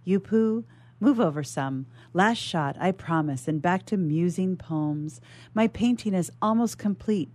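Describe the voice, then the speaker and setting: A woman's voice: lowish voice, expressive, unhurried, a little mellow. A woman reading the part of a dreamy, headstrong noble lady with a poetic, musing manner.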